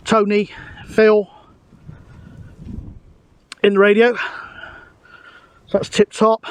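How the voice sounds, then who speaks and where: A middle-aged man, chatting casually and matily as he vlogs outdoors. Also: high voice. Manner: chatty, matey. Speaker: vlogger and CB radio hobbyist. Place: outdoors on a windy hill. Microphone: camera microphone.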